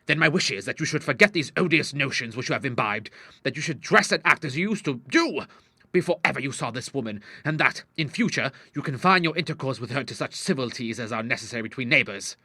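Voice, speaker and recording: Man acting an indignant, forceful Victorian father. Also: mid-range voice, animated, fast talker, breathy edge, dry recording. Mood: stern. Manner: formal, sarcastic, emphatic. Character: indignant Victorian father, stern doctor, wounded and fiery. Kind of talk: dramatized audiobook dialogue.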